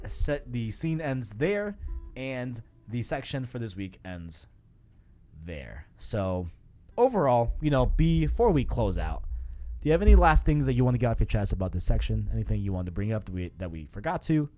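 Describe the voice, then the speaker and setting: A man's voice: mid-range voice, very animated, moderate pace, warm tone, soft background music. A man hosting a casual video game discussion, talking in a rambling, enthusiastic, slangy way. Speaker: podcast host.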